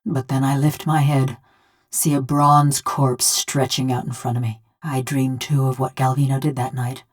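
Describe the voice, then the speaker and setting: Game role: bronze construct with a village woman's soul. Low female voice, folksy rustic drawl, wry and bent on revenge. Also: flat delivery, moderate pace. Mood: somber, reflective. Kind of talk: video game character dialogue.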